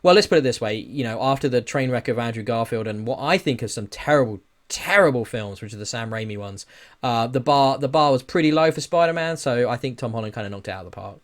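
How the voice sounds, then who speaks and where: A man's voice: medium pitch, fast talker. A man co-hosting a podcast in casual conversation, speaking with enthusiasm and strong opinions. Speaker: podcast co-host. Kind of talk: casual film and game chat.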